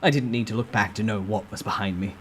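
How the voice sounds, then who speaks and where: A man's voice: lowish voice, fast talker, a little hiss or hum. A man reading a fantasy novel aloud as a dramatic, suspenseful first-person narrator. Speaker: amateur story narrator.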